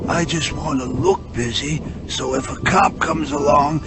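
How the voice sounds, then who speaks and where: An actor in a radio drama playing a laid-back, shifty squeegee hustler with a casual, slangy way of talking. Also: male voice, a little high, a little slow, vocal fry, loud music behind the voice. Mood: casual, evasive.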